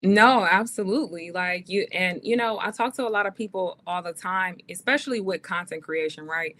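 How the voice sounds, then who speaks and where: A woman's voice: lowish voice, moderate pace, a bit bright, a little hiss or hum. A woman in her thirties, a podcast guest, talking earnestly and casually with many fillers. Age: 30s. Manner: earnest, faith-driven, many "um"s and "like"s. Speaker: guest, actress and author.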